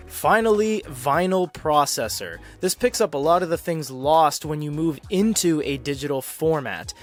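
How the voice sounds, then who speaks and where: A man delivers a casual tech review in a voice pitched a little higher than most men's. His explanations are informal, with emphatic delivery. Soft music plays behind him. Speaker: product reviewer. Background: background music.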